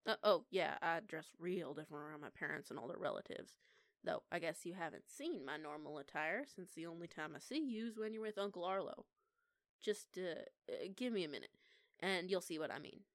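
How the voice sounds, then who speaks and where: A woman's voice: fairly low pitch, dry recording, natural voice. A young woman playing a defiant eighteen-year-old punk lone-wolf werewolf in an audio roleplay, with a casual, sweary, sarcastic manner. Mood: casual, slightly awkward. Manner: sweary, casual, sarcastic, halting when flustered. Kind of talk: intimate one-sided audio roleplay acting.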